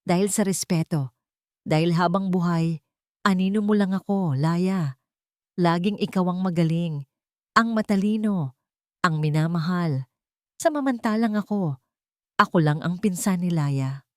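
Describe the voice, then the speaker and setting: A woman's voice: lowish voice, measured pace, pauses often, no echo, studio quality, close to an everyday voice. A woman voicing a bitter, vengeful villainess full of envy, emphatic and accusing, in a narrated romance drama. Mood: resentful anger.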